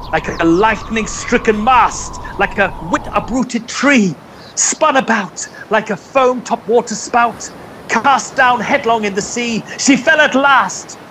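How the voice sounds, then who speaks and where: Slow dramatic male poetry narrator. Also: sits high, noisy background. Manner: theatrical, measured, dramatic. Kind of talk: dramatic reading of a Victorian poem.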